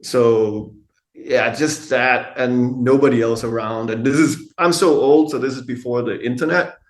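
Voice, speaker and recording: A man's voice: mid-range voice, unhurried. A middle-aged Danish man with a Danish accent, a tattoo artist chatting candidly as a podcast guest, laid-back, swearing casually and laughing often. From Denmark. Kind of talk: casual interview conversation. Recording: echoey room.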